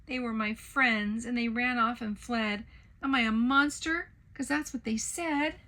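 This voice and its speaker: A woman voicing a pompous male waffle baron in a storybook, stuttering and shrill when upset. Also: sing-song, normal speed, vocal fry, breathy edge, full character voice. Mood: hurt. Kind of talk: storybook character voice.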